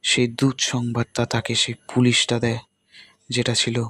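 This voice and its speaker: A man narrating a ghost story in slow, suspenseful, broken phrases, with a husky voice. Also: medium pitch, monotone, fairly bright tone, no echo.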